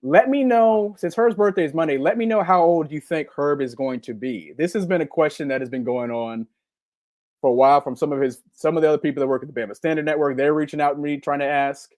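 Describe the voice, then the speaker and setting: A man's voice: on the high side, fast talker, a little mellow, dry recording, clean studio sound. A man, co-hosting a live-stream podcast and reading chat comments in a rambling, upbeat way. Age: 40s. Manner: rambling and upbeat, full of ums and repeats. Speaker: podcast co-host.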